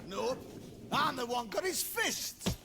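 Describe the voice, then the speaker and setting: A rowdy, rough-spoken thug in a fantasy game, high and gravelly. He drawls his taunts slowly and boisterously over background noise. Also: exaggerated voice. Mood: mocking glee. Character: rowdy, mocking male brawler of a merry band. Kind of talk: video game cutscene acting.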